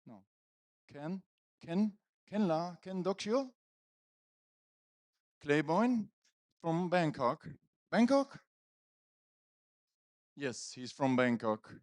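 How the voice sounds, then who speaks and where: A man's voice: a little high, sing-song, extremely slow, pauses often, dry recording, clean studio sound. A man hosting a conference on stage, casually bantering and teasing the audience and his guest into a microphone. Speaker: conference host. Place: conference stage at live event. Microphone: handheld stage microphone.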